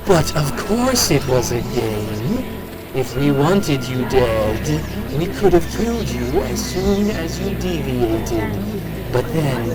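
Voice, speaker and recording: An actor voicing a sinister villain who runs a deadly game, speaking slowly and mockingly over music. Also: mid-range voice, rough recording. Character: sinister game-running villain, calm and taunting.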